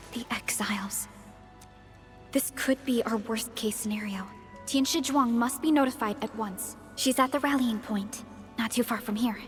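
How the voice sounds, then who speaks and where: Actress as young female game aide, fairly high voice, earnest, formal, precise, faint music. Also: close to an everyday voice. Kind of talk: video game story dialogue.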